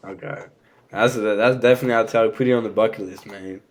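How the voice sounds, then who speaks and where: Young guy from rwanda, lowish voice, laid back chatty podcast guest. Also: fast talker. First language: a Rwandan language; also French. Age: young adult. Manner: relaxed, easygoing, fillers like "you know what I'm saying". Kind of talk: casual conversation.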